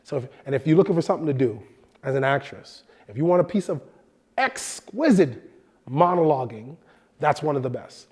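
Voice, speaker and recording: Guy in his 30s teaching film stuff, kinda nasal from a cold, lively, a bit high voice. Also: fairly quick, breathy edge. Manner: animated, expressive, gestures with hands. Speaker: host. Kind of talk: film production lesson. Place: indoor studio set.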